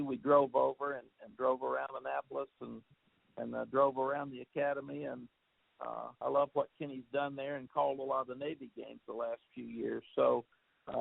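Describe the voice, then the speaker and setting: A football coach talks over a tinny phone line in a very gravelly, husky voice. He rambles, repeating words and filling gaps with "uh". The sound is dry and close. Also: medium pitch, dry recording. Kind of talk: press conference call answers.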